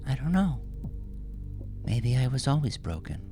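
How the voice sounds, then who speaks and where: A man voicing a caged, weary woman captive in a bleak, resigned manner for a dark story. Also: medium pitch, very animated, a little slow, faint music behind, voice pushed up.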